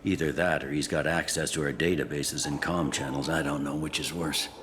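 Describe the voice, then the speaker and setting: An actor playing a jaded police captain in a video game, blunt and wry. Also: male voice, very deep voice, fairly even intonation, brisk, background noise. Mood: frustrated, frank.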